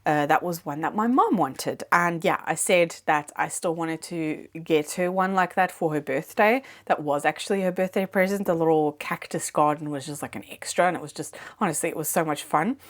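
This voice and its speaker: Chatty woman with a lowish voice. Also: normal speed, few pauses. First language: not English. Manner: chatty, rambling, with many fillers. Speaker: plant vlogger. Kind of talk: casual chat. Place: home, indoors.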